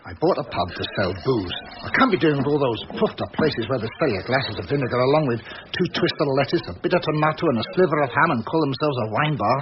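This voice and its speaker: An actor playing a chatty, cynical ex-crook who runs a country pub, bluntly grumbling and bantering in a radio drama. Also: male voice, mid-range voice, animated, quick pace, few pauses, natural voice. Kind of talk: naturalistic radio drama acting. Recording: muffled, noisy old radio recording.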